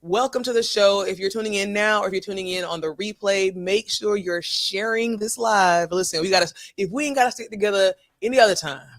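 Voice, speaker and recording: A woman co-hosting a podcast in casual chat, slightly hoarse after a cold, chatty and playful. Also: mid-range voice. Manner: chatty, playful, talks in quick bursts. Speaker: podcast co-host.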